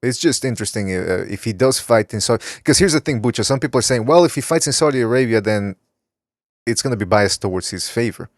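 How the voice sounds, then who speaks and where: A man's voice: medium pitch, lively intonation, fast, no room sound, studio quality. A man hosting a casual podcast, rambling as he reads viewer chat comments aloud. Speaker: podcast host. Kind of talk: casual talk show chat.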